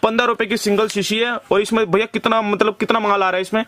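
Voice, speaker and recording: A man's voice: sits high, level tone, fast, fairly bright tone. A man with a Delhi Hindi accent, vlogging a tour of a small shop. He chats with the viewers, asks the seller about each item's price and repeats the answers back. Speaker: vlogger touring a wholesale shop. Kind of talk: casual product walkthrough, addressing viewers. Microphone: camera-mounted mic, holding items up to the lens.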